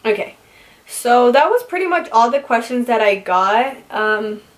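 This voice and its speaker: A woman's voice: a little high, lively intonation, unhurried. A young woman in her twenties, originally from California and raised in Utah, answers viewer questions in a casual, chatty vlog, filling her talk with "like". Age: 20s. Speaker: beauty vlogger. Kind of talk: casual get-to-know-me Q&A.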